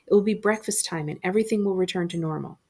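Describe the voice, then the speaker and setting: A woman's voice: fairly low pitch, fast, mellow, husky. A woman voicing a bewildered, frightened young boy in a storybook reading, sounding incredulous. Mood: uneasy, disbelieving. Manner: incredulous, stammering when scared.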